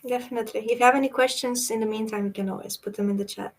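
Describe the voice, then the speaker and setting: A young woman from Romania, a university student, speaking casually and hesitantly with many pauses and "um"s. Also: fairly high pitch, steady tone. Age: young adult. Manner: hesitant, searching for words, many "um"s. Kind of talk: casual webinar chat.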